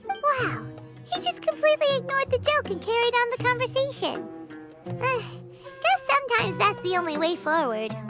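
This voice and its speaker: A woman voicing a tiny, cheeky, childlike fairy companion with a squeaky voice in a video game. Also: sing-song, moderate pace, full character voice. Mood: bemused. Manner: exclamatory, cheeky, refers to herself by name. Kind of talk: video game character acting. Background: loud children's-style game music.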